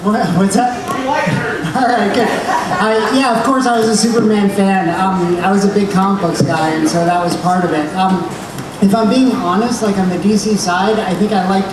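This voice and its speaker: A man speaking as a panel guest, relaxed and self-deprecating, with a nerdy enthusiasm. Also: sits high, normal speed, a crowd in the background. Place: large convention hall. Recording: echoey hall miked from far off with noise.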